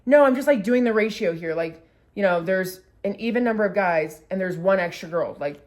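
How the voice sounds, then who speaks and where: A woman's voice: mid-range voice, fairly quick, darkish tone, lightly acted. A woman voicing a passive-aggressive young woman at a bar who needles with fake-innocent questions. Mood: snide.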